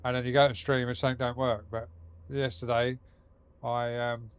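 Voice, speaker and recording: A man's voice: medium pitch, little pitch movement, normal speed, clean tone. A man streaming a game from his home setup, talking casually with a blocked nose and a rambling, sweary manner. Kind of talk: casual live-stream chat while gaming.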